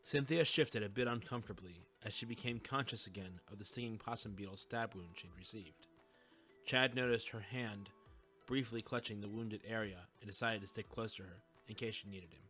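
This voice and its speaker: A man's voice: on the low side, moderate pace, sharp tone. Man narrating a story over music.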